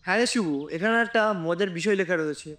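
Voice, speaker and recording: High, quick-talking Bengali detective played by a man. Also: a bit bright. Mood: intrigued. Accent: Bengali, West Bengal. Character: male detective, elder-brother figure, explaining patiently. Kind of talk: amateur web drama dialogue.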